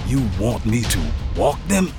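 A man's voice: medium pitch, sing-song, a little slow. A man playing a brash military pilot in a sci-fi audio drama. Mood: incredulous. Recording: slightly distant mic, faint music.

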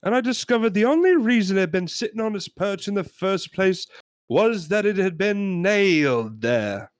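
A woman's voice: medium pitch, expressive, moderate pace, no echo, studio quality, pitched above the performer's own voice, natural voice. A woman playing an indignant male customer who complains in emphatic, exasperated rants in a comedy sketch. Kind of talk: British sketch comedy reenactment.

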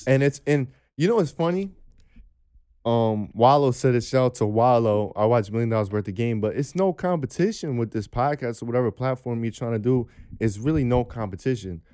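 A man's voice: medium pitch, animated, a little fast, dark tone, clear, resonant tone. A man in his twenties, a podcast guest, speaking earnestly and restarting his phrases as he thinks. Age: 20s. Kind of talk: casual podcast chat.

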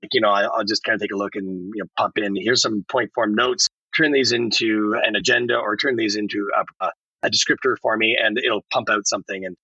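A man's voice: on the low side, pushed and bright, husky, no room sound, dull audio. A man speaking conversationally as a guest in a podcast interview.

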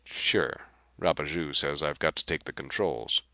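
A man's voice: rumbling low, steady tone, measured pace, bright, forceful tone, no echo, studio quality, heavily acted. A man voicing a defiant young male space pilot, gritty and clipped. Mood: curt.

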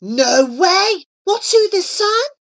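A woman voicing an excitable, puzzled child-sized broccoli character who exclaims and questions in a children's story. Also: very high voice, moderate pace, voice pushed up. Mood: astonished.

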